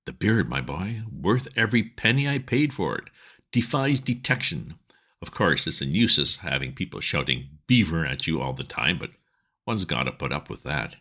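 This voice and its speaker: A man playing a cocky young clubman, breezy and jaunty, bouncing his lines around a low voice. He sounds pleased with himself and quick to tease. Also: sing-song, sharp tone, full character voice.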